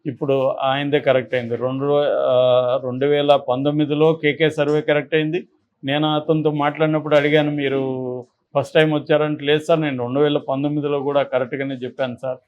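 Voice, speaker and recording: Man, slightly high pitch, political commentary, unscripted, explanatory, emphatic, repetitive. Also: level tone, brisk, phone-quality sound. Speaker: political analyst on a news discussion channel.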